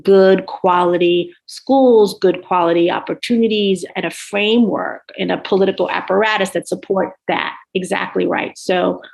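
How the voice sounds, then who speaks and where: A woman's voice: lowish voice, fairly even intonation, normal speed, creaky, hardly any pauses, darkish tone. A woman speaking as an academic panelist in an unscripted discussion, reflective and explanatory. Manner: reflective, explanatory, often checks with "right?".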